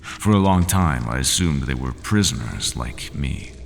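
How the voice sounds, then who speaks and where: Man doing a rumbling, gravelly old beast of the maze, slow and softly menacing, lively melody, cavernous echo, steady hiss. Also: full character voice. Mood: somber storytelling. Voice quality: deep gravelly voice. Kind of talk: ASMR roleplay. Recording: echoey cavernous reverb with steady noise.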